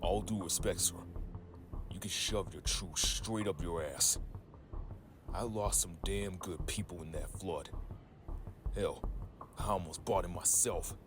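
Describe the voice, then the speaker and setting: A man's voice: deep voice, a little slow, long pauses. A man voicing a brash, foul-mouthed gang leader in a comic dub, blunt, irritable and defiant. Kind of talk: comic dub character acting. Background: loud dramatic background music.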